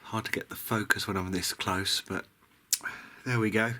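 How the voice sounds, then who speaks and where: A man's voice: lowish voice, steady tone, normal speed, sharp tone. A man giving a casual workbench commentary as a hobbyist repairer, musing and hesitant as he thinks aloud. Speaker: hobbyist radio repairer presenting a restoration.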